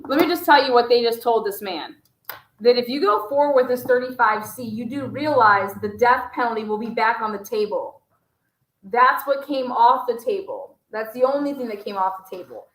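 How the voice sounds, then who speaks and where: Woman, high-pitched, roomy echo, livestream host, emphatic, indignant, sweary commentary. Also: moderate pace, a bit bright. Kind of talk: impassioned live commentary on a recording. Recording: roomy, echoing livestream sound.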